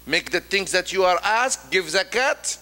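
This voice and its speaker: Middle-aged man, imam preaching, bright forceful voice, fairly high, long hall reverb. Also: animated. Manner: emphatic, rising on key words and dropping low after, quoting Arabic rapidly. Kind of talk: Friday sermon. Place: large mosque prayer hall. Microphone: stand mic.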